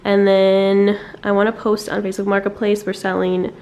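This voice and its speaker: A young woman from the with a stuffy, raspy voice from a cold, chatting casually to camera at home with lots of fillers. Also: medium pitch, monotone, moderate pace, darkish tone, slight background noise. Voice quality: raspy from illness. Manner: chatty, rambling with many fillers. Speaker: vlogger. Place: apartment at home, indoors.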